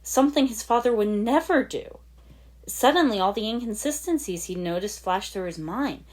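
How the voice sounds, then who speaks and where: A woman's voice: medium pitch, expressive, moderate pace, a little mellow. A woman reading fan fiction aloud as dramatic scripted story narration. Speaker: fan fiction podfic reader.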